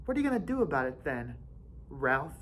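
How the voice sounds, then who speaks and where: Brief: man doing a bossy, jeering boy chief, storybook reading, high voice. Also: big pitch swings, fairly quick, fairly bright tone, low-quality recording. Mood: taunting. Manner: taunting, commanding. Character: bossy defiant boy tribe leader.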